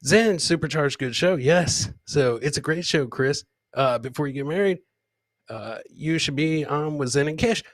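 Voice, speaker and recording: A man's voice: fairly high pitch, animated, moderate pace, dry recording. A man hosting a comedy podcast with casual, jokey live commentary, often repeating phrases for effect. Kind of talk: casual comic live-stream commentary, reading chat comments aloud.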